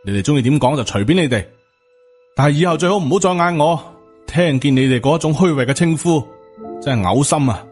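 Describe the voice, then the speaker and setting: A man's voice: on the high side, lively intonation, brisk, darkish tone, soft background music, voice pushed up, close to an everyday voice. Man voicing a proud, scornful Cantonese master. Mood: angry, scornful. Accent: Hong Kong Cantonese. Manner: scolding, sarcastic. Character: proud, indignant male martial uncle, scornful and cold.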